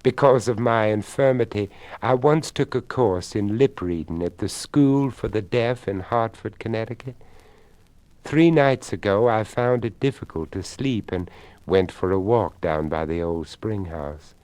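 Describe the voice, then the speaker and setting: A man voicing an earnest, elderly deaf lady in a story, with a hushed, measured, genteel delivery. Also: lowish voice, dry recording, lightly acted. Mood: hushed, sober.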